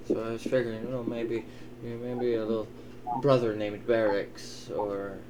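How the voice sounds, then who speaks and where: A man voicing a gruff, doting old elven father who swears and teases in an improvised tabletop roleplay. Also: lowish voice, flat delivery, moderate pace, lightly acted. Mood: wry teasing. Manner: wry, teasing, swearing. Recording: clearly noisy, ambient sound behind.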